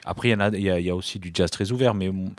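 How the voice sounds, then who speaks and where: A very low-voiced man around forty talks about his music as a guest in a small studio. He hesitates, restarts and fills pauses as he goes. The sound is close and dry. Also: fairly even intonation, no echo. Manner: hesitant, many restarts and fillers. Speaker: singer-songwriter guest. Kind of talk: casual interview talk.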